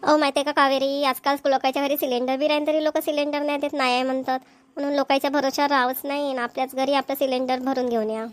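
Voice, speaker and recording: A nosy cartoon village woman, acted by a female performer, with a high voice. She is chatty, inquisitive and quick to advise, in a Vidarbha Marathi accent. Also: flat delivery, no echo, lightly acted. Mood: advising. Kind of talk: amateur cartoon comedy dialogue.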